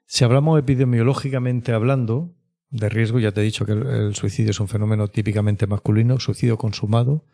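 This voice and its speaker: Male interview guest. Low, dark timbre, reflective expert who pauses and adds tag questions. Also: fairly even intonation, creaky. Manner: hesitant, reflective, frequent question tags. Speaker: mental health expert guest. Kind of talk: explanatory podcast interview.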